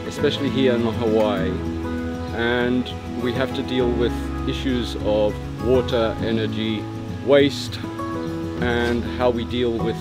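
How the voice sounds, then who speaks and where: An older man, an aquaculture technician, speaking in a documentary interview, measured and reflective with pauses. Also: mid-range voice. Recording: loud music bed behind.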